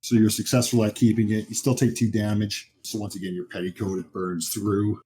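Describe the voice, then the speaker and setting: A man running a horror tabletop game, describing scenes informally and haltingly as game master. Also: fairly low pitch, level tone, warm tone, slightly rough.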